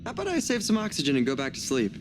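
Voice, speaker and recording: An actor playing a wry, joking younger astronaut in a video game, talking in a casual, teasing way. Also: male voice, fairly high pitch, wildly swooping pitch, very fast, noisy background. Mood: groggy, wry. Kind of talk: cinematic video game acting.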